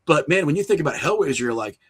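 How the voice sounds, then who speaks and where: A man talking casually and conversationally as a podcast guest, telling things in an animated, storytelling way. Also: on the high side, fast talker, husky. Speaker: podcast guest, horror filmmaker and writer.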